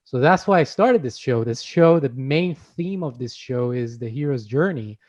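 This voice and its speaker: A man hosting a conversational interview show, earnest and unhurried as he lays out his questions. The recording is somewhat dull and muffled, with the highs rolled off. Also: animated, a little mellow. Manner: earnest, with fillers and self-corrections. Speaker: host. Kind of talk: casual interview chat.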